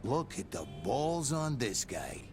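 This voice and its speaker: Brief: a mocking game mobster holding someone at gunpoint, music faint behind. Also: male voice, mid-range voice, very animated, takes it slowly, a little mellow. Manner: mocking, threatening. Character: cocky male mob gunman, sneering.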